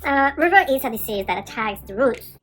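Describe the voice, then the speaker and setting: Woman reading out an explainer, highish voice, faint music under it. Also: lively intonation, brisk, fairly bright tone. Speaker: narrator reading out reference text. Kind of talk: scripted informational read-aloud.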